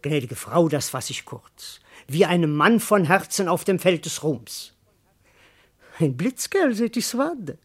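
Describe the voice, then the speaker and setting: Wildly animated, boastful cowardly servant. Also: male voice, fairly high pitch, a little slow, slightly rough, exaggerated voice. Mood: smug. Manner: theatrical, shifting between pompous declamation and muttering asides. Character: cowardly, boastful male servant rehearsing grand speeches. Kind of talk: German classical verse radio drama.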